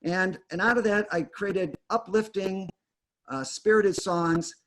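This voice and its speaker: A man's voice: high-pitched, a bit bright. Man hosting a video call, rambling. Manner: rambling, many fillers and restarts. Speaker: host. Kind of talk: introducing guests on a video call.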